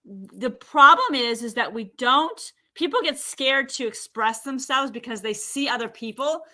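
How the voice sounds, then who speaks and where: A woman guest in a casual talk-show chat, speaking in a lively way and acting out what others say. Her voice is high and bright, with a sharp edge to it. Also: unhurried. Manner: animated, acts out quoted speech.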